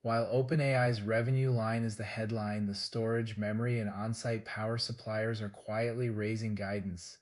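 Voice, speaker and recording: A man reading scripted business news as a host, in a formal, measured delivery. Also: lowish voice, flat delivery, darkish tone.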